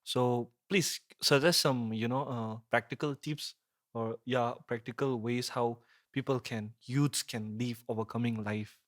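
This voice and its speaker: The attentive man across the table on a talk podcast, asking casual questions in a mid-pitched voice with no room echo. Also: steady tone, a little slow, clean studio sound. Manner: attentive, echoes guest's points. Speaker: podcast host. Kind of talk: casual conversational interview.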